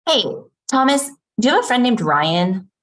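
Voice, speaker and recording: Woman reading as curt, unbothered boss, lively pitch. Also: moderate pace. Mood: questioning. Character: Joanne, cool, unbothered woman boss aged forty. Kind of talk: table read of a TV pilot script.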